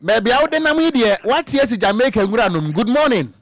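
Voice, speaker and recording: A man's voice: extremely high pitch, big pitch swings, fast, no room sound. A Ghanaian man hosting a phone-in talk show on the radio from a studio. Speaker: radio host.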